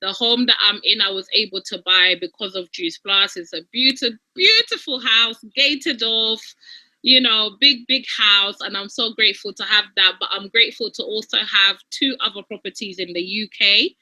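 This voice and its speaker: A woman's voice: mid-range voice, moderate pace, sharp tone, no echo, rough recording. A thirty-year-old woman from Uganda giving a casual, effusive testimony, repeating points for emphasis. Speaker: guest giving a success testimony.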